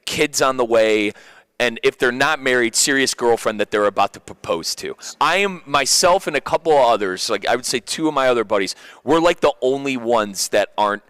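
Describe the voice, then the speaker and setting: A man's voice: medium pitch, lively intonation, fairly quick, fairly bright tone, clean tone, no room sound. A thirty-year-old man hosting a sports radio show, chatting casually and animatedly, often repeating and stumbling over his phrases. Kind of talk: casual radio chat, personal.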